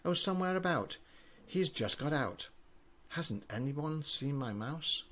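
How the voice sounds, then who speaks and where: An older man, a grandfather, reading children's poems aloud with an expressive storybook delivery. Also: fairly high pitch, a little slow.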